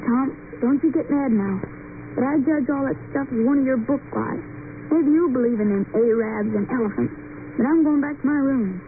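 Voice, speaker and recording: Radio drama role: performer as a wary, stubborn country boy, homespun and plain, heard through a thin, clearly noisy old recording. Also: high voice, moderate pace, narrow, tinny audio, lightly acted. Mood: doubtful. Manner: plain, folksy, unschooled speech. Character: plain-spoken backwoods boy, young, wary but stubborn. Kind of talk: old-time radio drama acting and first-person narration.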